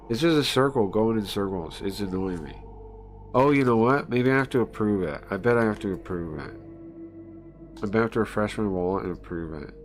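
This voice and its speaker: A man livestreaming casually, thinking aloud, muttering to himself and repeating phrases as he goes. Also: on the low side.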